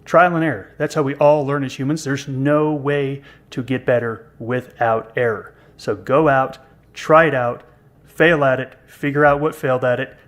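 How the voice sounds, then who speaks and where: Man, 50s, podcast co-host, joking and self-deprecating, middle pitch. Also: fairly even intonation, moderate pace. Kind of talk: casual podcast conversation.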